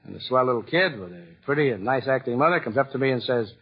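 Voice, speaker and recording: A man's voice: mid-range voice, lively intonation, fast talker, breathy edge. An actor in a 1940s radio drama playing a kind-hearted, teasing young American man who plays Santa. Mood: earnest, teasing. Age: young adult. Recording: muffled old 1940s radio recording.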